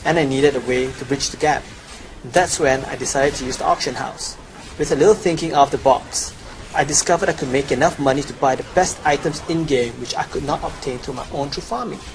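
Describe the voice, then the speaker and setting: Young male gamer, mid-pitched, scripted guide voice-over, noisy home recording. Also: fairly quick. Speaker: gamer presenting a gold-making guide. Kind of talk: scripted promotional voice-over with game commentary.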